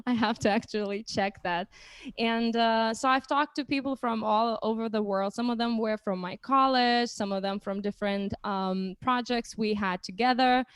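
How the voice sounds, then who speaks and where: A woman's voice: on the high side, smooth, no room sound. A young woman hosting a casual livestream chat, speaking hesitantly and often restarting phrases. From Lithuania. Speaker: host.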